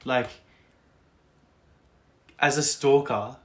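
A young man with a mid-pitched voice talks informally with a friend. His delivery is loose and rambling, like a homemade chat podcast. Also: steady tone, very slow, a bit bright. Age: young adult. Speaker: podcast co-host.

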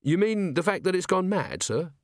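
A man's voice: sits high, very animated, moderate pace, dry recording, studio quality, voice pushed up. A man voicing an earnest, polite young police officer in an audiobook, deferential and sincere. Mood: sincere, sad. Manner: deferential, sincere, literal-minded.

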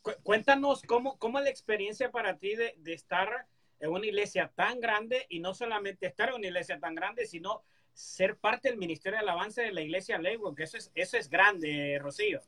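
Bright, high-voiced male interviewer. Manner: halting, repeats words. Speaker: host. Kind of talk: live-stream interview.

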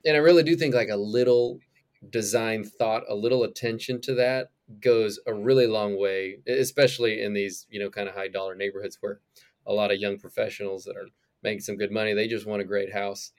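A man's voice: fairly low pitch, fairly quick. A young man speaking as a podcast guest about his move from music into real estate, talking loosely with frequent laughs. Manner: animated, laughing, acts out quoted reactions. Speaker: guest, former singer turned realtor. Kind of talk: casual interview conversation.

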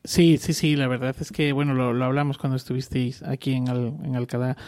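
A man's voice: medium pitch, flat delivery, fairly quick, darkish tone, no echo. A man hosting a panel, reflective and affirming. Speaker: host. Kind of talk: casual panel conversation.

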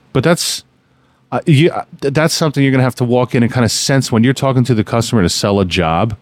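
Man, forties, podcast host, laughs a lot, lively pitch, dry sound. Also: no echo. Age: 40s. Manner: laughs often, animated, teasing. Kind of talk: casual podcast banter.

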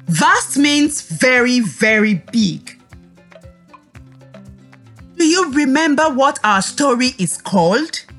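Very slow, high-pitched woman reading to children. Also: soft background music. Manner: slow, deliberate, expressive, prompting listeners to repeat. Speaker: teacher leading a children's read-aloud lesson. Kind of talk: scripted lesson and storytelling for young children.

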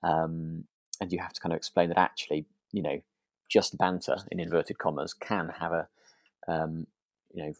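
Male podcast co-host, slightly deep, closely recorded dry sound, thoughtful and halting in conversation. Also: level tone, dry recording. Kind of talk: relaxed conversational discussion.

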